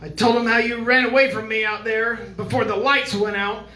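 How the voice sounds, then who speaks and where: A man's voice: very high voice, level tone, normal speed, sharp tone. A man playing an anxious, accusing man in an old-time radio suspense drama, urgent and melodramatic. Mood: indignant, accusing. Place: live stage performance in a venue. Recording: echoey room, distant mic, faint noise.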